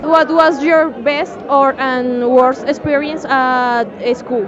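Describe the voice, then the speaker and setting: A woman with a very high voice puts interview questions for a student report. The sound is dull, with clear crowd chatter around her. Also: steady tone, slow pace. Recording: muffled, crowded public space.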